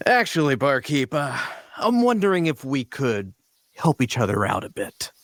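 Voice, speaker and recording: A man playing a charming, smooth-talking leader of adventurers, flattering and persuasive, in a fantasy audio drama table read. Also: mid-range voice, moderate pace, dry recording. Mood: coaxing. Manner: flattering, theatrically polite, persuasive.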